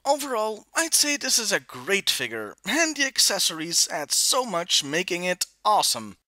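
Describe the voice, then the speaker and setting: Male review host: wry, opinionated, scripted narration, bright tone with lots of pitch movement. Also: very animated, moderate pace, no echo.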